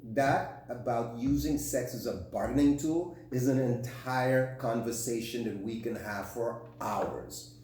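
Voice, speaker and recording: Echoey, mellow-voiced man, frank and opinionated. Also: mid-range voice, measured pace. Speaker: podcast co-host and relationship coach. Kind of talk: conversational podcast discussion. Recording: very echoey room, distant mic, faint hum.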